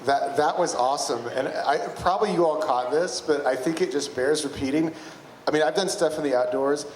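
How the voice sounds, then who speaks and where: A man's voice: on the high side, brisk. A man with an American accent hosts a live event casually, with informal, repetitive phrasing. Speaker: event host. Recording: echoey room, clear background noise.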